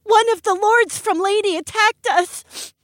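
A terrified young fox girl from a storybook, her voice pitched very high and trembling with tears and breathlessness. She pleads in a dry, close recording, with a man acting her out. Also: a little slow, a bit bright, dry recording. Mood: tearful.

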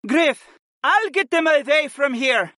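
A man voicing a brisk, protective older hawk woman in an audiobook, calling out in an urgent, take-charge way. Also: extremely high pitch, lively intonation, pushed and bright, dry recording, clean studio sound, pitched above the performer's own voice, exaggerated voice. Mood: urgent, decisive. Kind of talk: dramatic audiobook character acting.

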